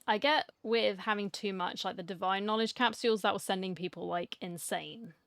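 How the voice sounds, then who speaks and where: A woman's voice: medium pitch, moderate pace, a bit bright, dry recording. A woman giving casual, musing reaction commentary as she plays a video game into a close microphone. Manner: musing, chatty. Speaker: gaming streamer.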